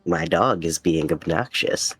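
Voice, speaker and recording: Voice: low-pitched, a little slow, a bit bright, husky, no room sound, faint music behind. A tabletop game player chatting and role-playing casually. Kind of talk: casual role-play chat.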